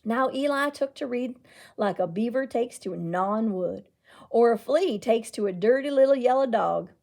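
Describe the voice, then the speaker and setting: Animated woman reading a children's story. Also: on the high side, creaky. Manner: dramatic, deliberate delivery. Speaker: library storyteller. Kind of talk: expressive children's picture-book reading.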